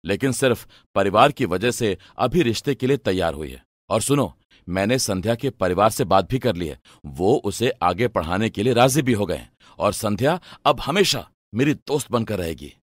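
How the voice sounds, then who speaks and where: A man playing a dutiful, thoughtful young son in an animated moral story, speaking earnestly and respectfully. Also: lowish voice, moderate pace, no room sound, studio quality.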